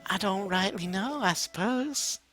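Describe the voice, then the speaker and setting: A man's voice: sits high, lively intonation, measured pace, a bit bright, faint music behind, voice pushed up. A man voicing a shy, flustered female animal baker from a small town, folksy and hesitant, in a story reading. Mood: embarrassed, hesitant.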